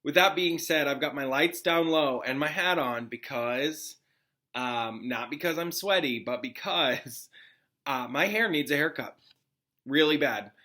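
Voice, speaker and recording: A man's voice: fairly high pitch, measured pace, pushed and bright. A man who is a classroom teacher, reading a storybook aloud expressively with casual, informal asides to pupils.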